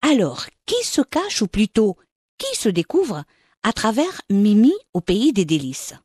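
A woman host reading a scripted introduction and asking interview questions in an expressive presenter manner. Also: mid-range voice, measured pace, breathy edge, no echo, clean studio sound. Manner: lively, expressive presenter delivery.

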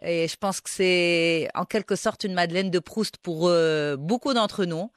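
A man's voice: high voice, a little slow, fairly bright tone, dry recording, studio quality. A man interviewing a guest, asking questions hesitantly and searching for words.

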